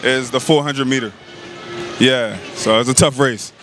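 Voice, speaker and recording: A young man interviewing strangers on a city street at night with a handheld mic, teasing and quick. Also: medium pitch, fairly even intonation, over music. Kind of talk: playful street interview questions. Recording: street noise behind.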